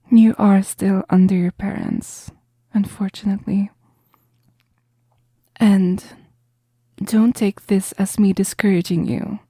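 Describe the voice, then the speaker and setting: A woman performs a kind, understanding female professor in an intimate roleplay audio. Her voice is soft and very breathy, with a slow, gentle, reassuring delivery. The sound is dry and close. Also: medium pitch, no room sound. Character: caring, understanding female math professor counselling a student.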